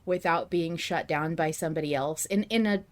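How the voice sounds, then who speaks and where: A woman hosting a casual podcast discussion, thinking out loud at length with some emphasis. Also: deep voice, moderate pace. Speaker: podcast host.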